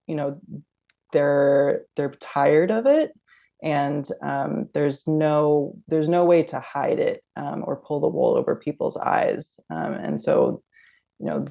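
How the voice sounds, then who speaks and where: A woman activist guest speaking in an interview, thoughtful, often pausing with "um" and "you know". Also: sits low, vocal fry, mellow, muffled recording. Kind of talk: casual interview talk.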